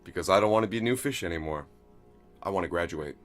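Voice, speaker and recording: A man's voice: low-pitched, quick pace, a bit bright. A man playing an eager, earnest junior recruit in an audio drama, speaking respectfully. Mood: earnest, determined. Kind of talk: noir audio drama acting. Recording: music bed under voice.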